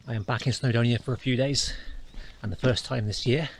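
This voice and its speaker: A man's voice: mid-range voice, steady tone, a little fast, creaky. A man narrating his hike for a walking vlog, thinking aloud with small restarts, recorded on a camera mic outdoors. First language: not a Welsh speaker. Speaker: hiking vlogger. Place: outdoors on a hillside in the countryside.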